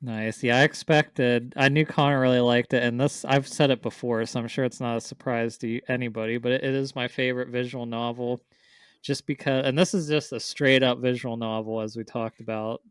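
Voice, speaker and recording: A man's voice: mid-range voice, steady tone, a little fast, smooth, dry recording. A man chatting casually as a podcast co-host, enthusiastic and rambling about his favorites.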